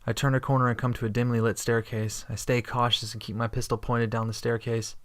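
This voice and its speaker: Male narrator, first-person sci-fi audio story. Steady, understated, little pitch movement. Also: brisk. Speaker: narrator and protagonist.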